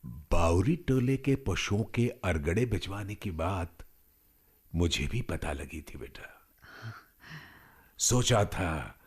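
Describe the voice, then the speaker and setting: An actor playing a benevolent, pious elderly village grandfather in a Hindi radio drama, speaking in a measured, blessing manner. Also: low-pitched, animated, husky, exaggerated voice. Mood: gentle, approving. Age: older. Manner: slow, measured, blessing-giving.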